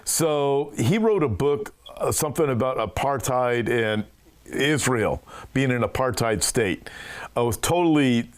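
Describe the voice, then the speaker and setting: A man's voice: mid-range voice, animated, a little slow, smooth. A man, a pastor hosting a show, asking long earnest questions full of fillers. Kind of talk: interview conversation.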